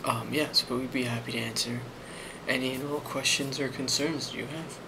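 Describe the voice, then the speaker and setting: Man playing friendly male office boss, ASMR role play, soft-spoken, gravelly fry, hesitant, rambling, reassuring, heavy background noise. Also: medium pitch, fairly even intonation, moderate pace, lightly acted. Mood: calm, reassuring. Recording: loud constant background noise.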